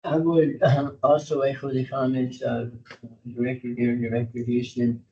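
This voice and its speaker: A man born in Cuba chairing a public board meeting, pausing often with filler sounds as he speaks and reads a formal proclamation. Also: level tone, mellow, recorded from a distance. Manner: hesitant, many filler sounds. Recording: dull low-fidelity meeting audio.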